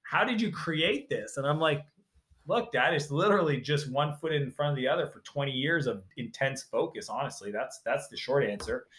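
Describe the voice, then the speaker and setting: A man talking as a guest in a casual interview, emphatic and animated as he tells his story. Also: medium pitch, moderate pace, roomy sound.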